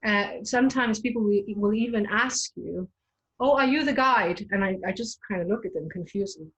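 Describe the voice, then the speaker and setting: Breathy Danish-native woman guide. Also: medium pitch, moderate pace, a bit bright, rough recording. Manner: hesitant, restarts often, laughs lightly. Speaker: tour guide and performer. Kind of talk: conversational interview.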